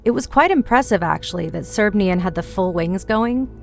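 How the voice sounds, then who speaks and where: A woman's voice: medium pitch, animated, normal speed, creaky. A woman playing a curious, teasing friend in a fantasy audiobook, speaking in a confiding, inquisitive way. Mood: chatty, recalling. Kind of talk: fantasy audiobook dialogue over music.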